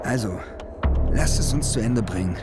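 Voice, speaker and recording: A man's voice: fairly low pitch, lively intonation, normal speed, natural voice. An actor playing a wary, defiant male hiker in a radio drama, speaking in terse, urgent phrases. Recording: loud music and sound effects behind.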